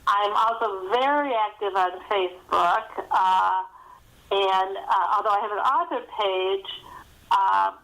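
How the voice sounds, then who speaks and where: A woman's voice: medium pitch, slow pace, fairly bright tone, rough recording. Chatty woman author in casual interview. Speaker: guest author.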